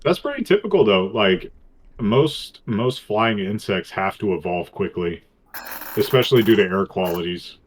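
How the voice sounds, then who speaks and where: A man's voice: on the low side, lively intonation, normal speed. A man co-hosting a casual podcast, crude and swearing, explaining things with lots of "like". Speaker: podcast co-host.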